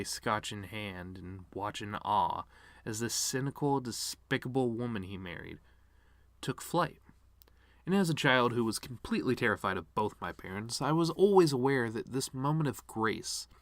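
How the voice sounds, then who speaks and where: A man's voice: mid-range voice, a bit bright, dry recording, close to an everyday voice. A man performing a dramatic monologue as a bitter, regretful actor giving his mother's eulogy, with sardonic, rambling asides.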